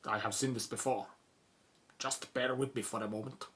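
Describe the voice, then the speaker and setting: A grave male archaeologist played by an actor in an audio drama, formal and superstitious. The voice has a tense, forward edge at a middling pitch, and every phrase is carefully weighed. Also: fairly even intonation, moderate pace, a bit bright, natural voice. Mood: solemn, knowing. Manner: solemn, formal, careful phrasing without contractions. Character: grave, superstitious male tomb expert, knowing and fearful.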